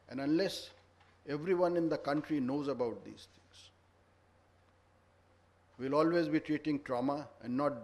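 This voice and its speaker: A man giving a formal address at a conference, earnest and lecturing, stressing each point. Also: medium pitch. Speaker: conference speaker. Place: conference hall.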